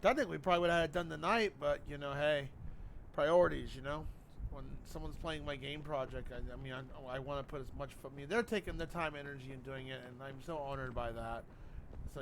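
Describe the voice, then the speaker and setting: A man's voice: fairly high pitch, slight background noise, poor audio. A man chatting casually as a game streamer, rambling in a conversational way. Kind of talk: casual livestream commentary.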